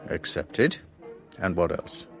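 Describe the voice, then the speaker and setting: Skeptical newspaperman, very low voice, dry, laconic, radio drama, faint music. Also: big pitch swings, slow, exaggerated voice. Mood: skeptical, probing. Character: wry, skeptical male foreign correspondent. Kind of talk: radio drama narration and dialogue.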